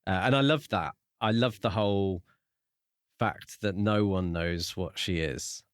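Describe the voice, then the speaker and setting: A man's voice: low-pitched, a little slow, smooth, dry recording, clean studio sound. A man co-hosting a podcast and talking casually about a book. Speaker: podcast co-host.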